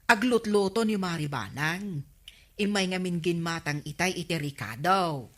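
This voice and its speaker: A woman's voice: lowish voice, animated, moderate pace. A woman playing a chatty, nosy village neighbour in an Ilocano comedy radio drama, gossipy and exclamatory. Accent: Filipino, Ilocano.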